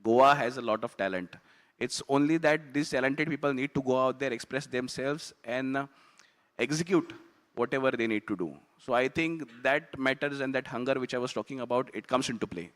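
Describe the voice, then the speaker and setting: Male official, mid-pitched voice, measured and explanatory, long hall reverb. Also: fairly bright tone. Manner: measured, explanatory, frequent pauses. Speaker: cricket association official. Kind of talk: panel discussion answer. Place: conference hall.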